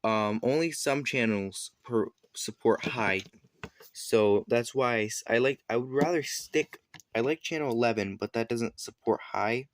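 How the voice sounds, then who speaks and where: A man giving a casual, unscripted product demonstration, explaining things haltingly and correcting himself as he goes. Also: mid-range voice. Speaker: product reviewer.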